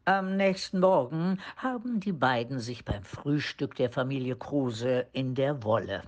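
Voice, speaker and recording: A male storyteller reading scripted radio play narration. His mid-range voice moves up and down in a lively way. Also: medium pitch, measured pace. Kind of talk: scripted audio drama narration.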